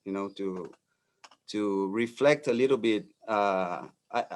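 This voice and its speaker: A Brazilian architect in his forties giving a talk in English as a second language, halting with frequent fillers and restarts. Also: on the low side. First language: Portuguese. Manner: hesitant, many fillers and restarts. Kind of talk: lecture presentation.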